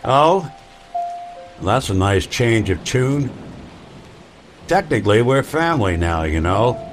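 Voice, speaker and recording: An actor playing a weary, wry old android detective in a noir radio drama. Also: male voice, mid-range voice, very animated, over music. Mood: teasing. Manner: wry, slow, world-weary.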